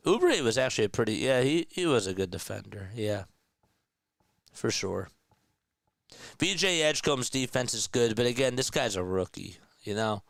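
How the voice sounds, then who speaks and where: A man calling a basketball game live, play by play, sounding a bit raspy and nasal as he gets over a sore throat, chatting casually between plays. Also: mid-range voice, animated, moderate pace, dry recording, studio quality. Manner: conversational, reads and answers chat comments. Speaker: play-by-play commentator.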